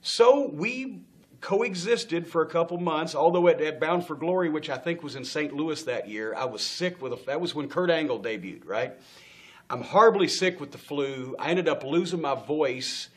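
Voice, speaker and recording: A man's voice: fairly high pitch, normal speed. A middle-aged man telling a long backstage story in a studio interview, acting out the people he quotes, swearing freely and laughing often. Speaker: interview guest. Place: indoor studio set with stage lights.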